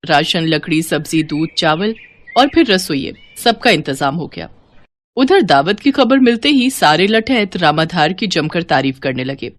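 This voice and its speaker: A woman's voice: fairly low pitch, animated, unhurried. A woman reading scripted narration as the narrator of a story.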